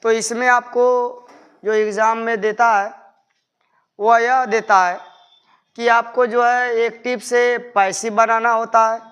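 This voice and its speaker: A man's voice: high-pitched, a bit bright, smooth. A man teaching a grammar lesson, explaining step by step and repeating his points methodically. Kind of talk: explanatory classroom-style lesson.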